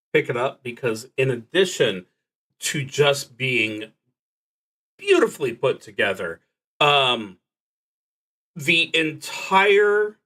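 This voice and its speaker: Male solo-RPG streamer, mid pitch, very slow and hesitant, bright edge to the voice, earnest casual explainer. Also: poor audio. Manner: halting, hesitant delivery with long pauses. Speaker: solo tabletop roleplaying streamer. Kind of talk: casual livestream talk, explaining a game.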